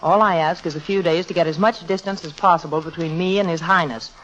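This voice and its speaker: An actress playing a spirited young heiress, tart, poised and sardonic, in 1940s radio comedy style. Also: female voice, lowish voice, quick pace, a bit bright, close to an everyday voice. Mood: scornful. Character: sharp-tongued, spirited young American heiress. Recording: muffled old radio recording.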